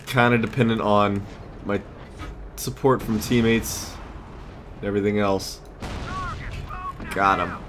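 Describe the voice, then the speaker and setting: Male gamer, lowish voice, off-the-cuff play-by-play with mutters and exclamations, audible game noise behind. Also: steady tone, slow pace, long pauses, a bit bright. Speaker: gamer, let's play host. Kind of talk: casual gameplay commentary. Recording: clear background noise, game ambience.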